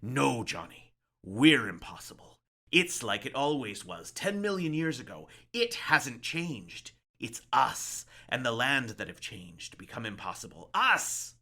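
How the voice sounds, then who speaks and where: A man's voice: mid-range voice, sing-song, unhurried, sharp tone, voice pushed up, exaggerated voice. A man voicing a lighthouse keeper in a dramatic story reading, folksy, musing and ominous, like an older storyteller. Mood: awed, intense.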